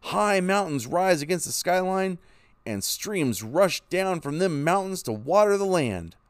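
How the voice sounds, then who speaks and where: A man's voice: high voice, very animated, a little slow, smooth, no echo, voice pushed up. A man voicing a determined young pioneer father who is set on heading west. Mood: eager. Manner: firm, eager. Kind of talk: storybook reading.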